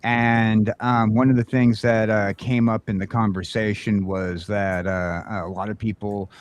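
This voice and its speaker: A man's voice: fairly low pitch, little pitch movement, unhurried. A man hosting a laid-back morning show, chatting and steering the discussion with questions for his guests. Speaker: host. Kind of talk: casual morning-show chat.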